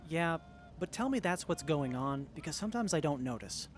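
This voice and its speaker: An earnest young tinkerer from an audio drama acted by a man. The voice sits high and moves around a lot in pitch. The delivery is sincere, geeky and open. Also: expressive, moderate pace, natural voice. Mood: hurt, earnest. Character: earnest, excitable young tinkerer in armor, sincere and literal-minded.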